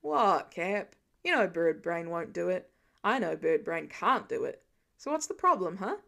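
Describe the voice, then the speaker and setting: A woman's voice: lowish voice, very animated, unhurried, creaky, bright, forceful tone, voice pushed down, full character voice. Woman playing a cocky, sarcastic superhero archer guy. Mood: cocky goading. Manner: sarcastic, goading. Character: cocky, teasing male superhero archer, lounging heckler.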